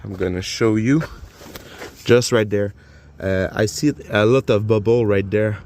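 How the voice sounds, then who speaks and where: A man whose first language is French, speaking English with a French accent as he walks through a car repair hands-on. He explains step by step from a garage and under the car, halting often with uh and repeated words while he films on his phone. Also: lowish voice, fairly even intonation, a little mellow, a little hiss or hum. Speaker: DIY car repair vlogger. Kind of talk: casual hands-on repair walkthrough. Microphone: phone camera while working.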